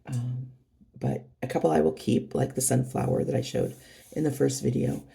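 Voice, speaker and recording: A middle-aged woman with a cold talks her way through a craft unboxing, her voice hoarse and heavily gravelly. She rambles in a friendly, meandering way, full of ums and restarts. Her tone sits low and mellow. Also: sing-song, normal speed. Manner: rambling, chatty, many fillers and restarts. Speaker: craft channel host. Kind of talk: casual unboxing vlog.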